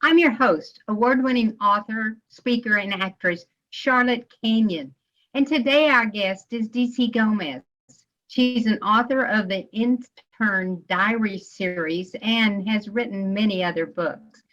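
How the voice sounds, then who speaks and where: A woman's voice: mid-range voice, unhurried, fairly bright tone. A woman hosting a podcast interview, asking friendly, rambling questions. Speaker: podcast host. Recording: dull lo-fi sound.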